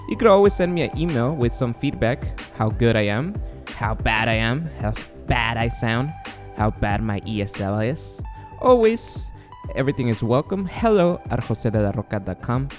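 A man's voice: medium pitch, darkish tone. A man from Guatemala with a Guatemalan Spanish accent, hosting a casual solo podcast in a rambling, self-deprecating way with many fillers. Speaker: podcast host.

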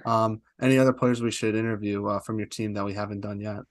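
A man's voice: lowish voice, fairly even intonation, fast, dry recording. A man hosting an interview podcast, asking long questions in a talkative way with frequent "uh" fillers. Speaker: podcast host.

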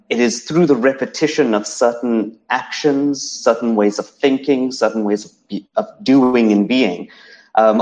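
A lecturer from South Africa with a South African English accent, talking through slides in an academic seminar, hesitant with many fillers and restarts. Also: male voice, medium pitch, darkish tone, low-quality recording.

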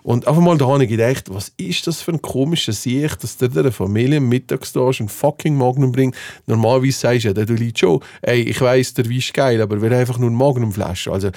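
A man with a Swiss accent telling a casual anecdote in conversation, slangy and animated, acting out what people said. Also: medium pitch, fairly quick, no room sound, studio quality. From Switzerland. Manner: animated storytelling with slangy swearing and acted-out quotes. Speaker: podcast host telling a personal story.

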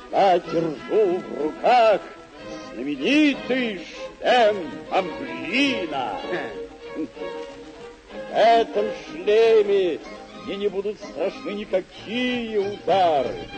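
An actor in an old radio drama playing a pompous, idealistic and hot-tempered deluded knight-errant, declaiming grandly. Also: male voice, high-pitched, sing-song, drawn-out words, music in the background, exaggerated voice, poor audio. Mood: exultant.

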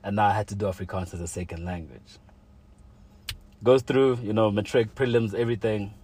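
A young man with a lowish voice talks casually, leaning on slang and fillers. A faint hiss sits under the recording. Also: a little fast. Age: young adult. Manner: relaxed slangy talk full of "like" and "you know". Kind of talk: casual chat, telling a personal story.

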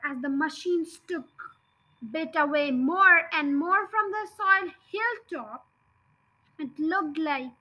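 A woman reading a children's story aloud as host, in an expressive, emphatic way. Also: high-pitched, rough recording. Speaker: storybook reader, podcast host. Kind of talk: expressive children's audiobook narration.

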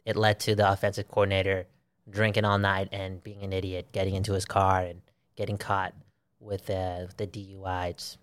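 A man co-hosting a casual sports chat, animated and swearing freely, repeating himself for emphasis. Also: fairly low pitch, clean tone, dry recording. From Belgium. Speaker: sports podcast co-host.

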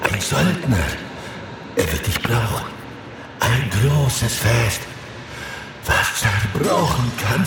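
Ominous seer in a trance, slow halting prophecy, huge cavern echo, noisy background, fantasy audio drama. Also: male voice, medium pitch. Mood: alarmed foreboding, then solemn prophecy. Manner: halting, portentous fragments with dramatic outbursts. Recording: cavernous echo, distant, heavy background noise.

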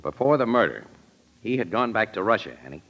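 A low-voiced, streetwise crime photographer from 1940s radio drama. He talks in wry, laconic slang with a tough edge. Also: very animated, brisk, a bit bright, dull audio, full character voice. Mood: matter-of-fact.

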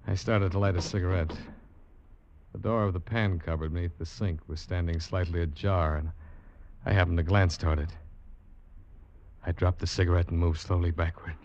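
A man's voice: deep voice, slight background noise. An actor playing a guilt-ridden young seaman who narrates his ordeal in tense, dramatic old-time radio style. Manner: tense, dramatic, deliberate storytelling. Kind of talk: 1940s-50s radio drama acting, suspense narration. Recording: muffled old radio broadcast, loud music behind.

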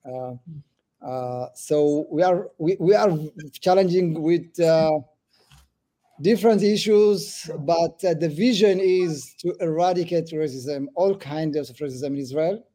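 Man, 40s, from Ethiopia, podcast guest, halting with fillers, very mellow dark tone. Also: on the high side. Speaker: government official, guest. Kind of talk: podcast interview.

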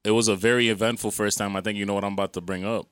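A man's voice: lowish voice, fairly even intonation, rapid-fire, fairly bright tone, clear, resonant tone, no echo, studio quality. A man co-hosting a podcast in casual chat, telling stories with slang and swearing. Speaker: podcast co-host.

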